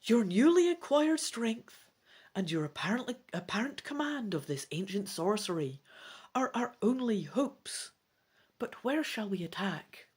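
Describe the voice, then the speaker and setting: A woman's voice: mid-range voice, sing-song, a little slow, darkish tone, breathy edge, pitched above the performer's own voice. A woman voicing an earnest, commanding sorceress in a dramatic gamebook reading. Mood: urgent, grave.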